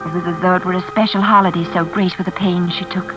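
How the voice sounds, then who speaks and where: A woman's voice: fairly low pitch, steady tone, quick pace, over music, lightly acted, low-quality recording. An actress playing a nervous, polite young woman working as a companion, earnest and proper, in classic radio suspense style. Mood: uneasy narration. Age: young adult.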